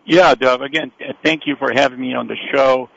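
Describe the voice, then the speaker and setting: A male guest joins an interview show over a remote line. His voice has a gravelly edge, and he speaks politely with small stumbles. The audio comes through dull and lo-fi. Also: mid-range voice, steady tone, fairly quick, a bit bright. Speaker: guest entrepreneur. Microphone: remote call.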